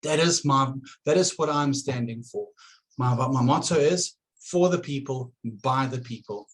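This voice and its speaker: A man's voice: mid-range voice, a little slow, poor audio. Earnest South African-accented man. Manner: earnest, repeats words when starting phrases. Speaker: election candidate. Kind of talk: campaign video address.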